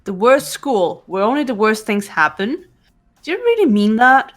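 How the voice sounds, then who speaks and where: A woman playing a cool, guarded young woman in a fan-voiced game, speaking in a measured, composed way. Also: fairly high pitch, very animated, soft background music. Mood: guarded, calm.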